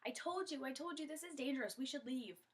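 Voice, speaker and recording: Timid storybook best friend, a woman narrator acting: skeptical, exclamatory, raised pitch. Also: sing-song, measured pace, far from the mic. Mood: alarmed. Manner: exclaiming, warning. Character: cautious, skeptical girl friend, easily scared.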